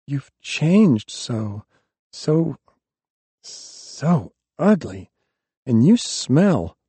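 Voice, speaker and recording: A man's voice: mid-range voice, big pitch swings, very slow, mellow, breathy edge, no room sound, pitched above the performer's own voice, heavily acted. A man voicing a tender worried young woman.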